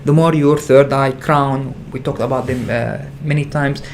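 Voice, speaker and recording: This is a man of ordinary pitch leading a spiritual practice session on a livestream. He talks earnestly and without a script, often pausing, repeating himself and reshaping his sentences. A light background hiss sits under his voice. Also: steady tone. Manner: earnest, repetitive, searching phrasing with frequent hesitations. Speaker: spiritual teacher hosting a livestream. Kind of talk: unscripted spiritual teaching talk.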